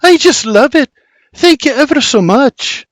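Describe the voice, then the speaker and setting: A man voicing a gleeful young farm girl, with a high, excited, girlish way of talking. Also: unhurried, dry recording, studio quality.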